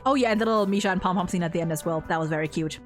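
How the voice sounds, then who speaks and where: A woman hosting a stream, chatting casually and gushing in an animated, blunt, sweary way. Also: on the low side, very fast, faint music behind. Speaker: host of a game ranking stream.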